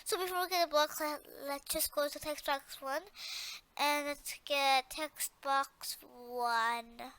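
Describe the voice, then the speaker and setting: Tutorial presenter, female, notably high pitch, unhurried pace, informal and hesitant, repeats each step. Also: steady tone, a bit bright. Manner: hesitant, repeats instructions as she goes.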